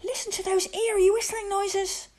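A woman's voice: very high-pitched, level tone, normal speed, a little mellow, pitched above the performer's own voice. A woman voicing an imaginative, easily frightened little boy playing knight in a storybook, with exclamatory, dramatic delivery. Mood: excited. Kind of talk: storybook character voice.

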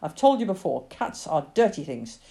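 A woman's voice: low-pitched, sing-song, normal speed, dark tone. A woman voicing a stern, scornful farmer father in a story, grumbling and scolding emphatically. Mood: angry, scornful.

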